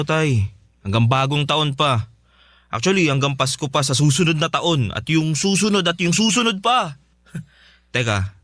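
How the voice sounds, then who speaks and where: A man's voice: on the high side, a bit bright, no echo, clean studio sound. A man playing a devoted, insistent youngest son talking earnestly to his father in a Filipino radio drama. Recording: music playing behind.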